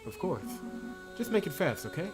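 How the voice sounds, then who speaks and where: A man's voice: high voice, huge pitch swings, normal speed, over music, voice pushed up. A man voicing an anxious, pleading young female companion in a visual novel. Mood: pleading, impatient. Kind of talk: visual novel character reading.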